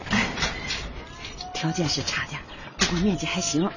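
An actress in a radio drama playing a middle-aged mother, warm, over-eager and anxious, coaxing and hesitant. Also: low voice, moderate pace, lo-fi sound, over loud music, close to an everyday voice.

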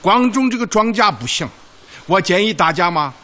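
A man's voice: high voice, expressive, moderate pace, pushed and bright. A man acting an eager, shrewd village party secretary in a radio drama, folksy and emphatic. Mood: rallying, insistent. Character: eager, shrewd, big-hearted male village party secretary rallying farmers.

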